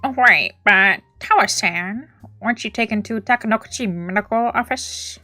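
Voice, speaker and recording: A woman's voice: medium pitch, moderate pace, sharp tone, no room sound, faint music behind, close to an everyday voice. A woman voicing a smug, precise young man who loves bombs and intel, reading visual novel dialogue aloud. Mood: thoughtful. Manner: precise, polite, self-assured. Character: smug, precise young male bomb enthusiast and informant.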